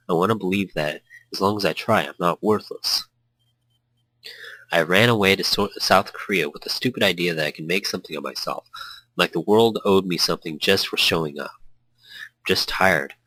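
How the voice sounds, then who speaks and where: A man's voice: low-pitched, bright, forceful tone, no echo, poor audio. A man playing a weary, self-doubting young pro gamer from a visual novel, speaking in a downcast, confessional way.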